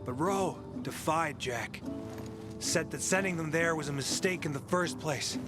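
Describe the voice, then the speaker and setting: An actor playing a wry, sardonic rank-and-file soldier in a video game cutscene, talking colloquially. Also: male voice, a little high, a little slow, close to an everyday voice. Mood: bitter recounting. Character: wry rank-and-file male soldier telling war stories. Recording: guitar music behind the voice.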